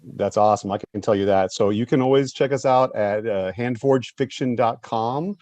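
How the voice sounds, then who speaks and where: A man's voice: mid-range voice, normal speed, darkish tone, no echo. A man being interviewed as a writer-director, talking reflectively and earnestly, often repeating phrases for emphasis.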